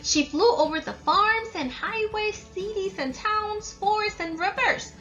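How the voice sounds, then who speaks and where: A woman's voice: very high-pitched, a little slow, a bit bright, faint music behind, poor audio. A woman reading a children's story aloud and explaining it like a teacher.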